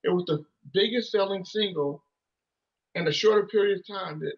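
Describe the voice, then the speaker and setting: A man's voice: high-pitched, normal speed, lo-fi sound. A man in his thirties, a musician guest, telling stories in a rambling, casual way with lots of "you know" and restarts. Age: 30s. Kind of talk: casual storytelling interview.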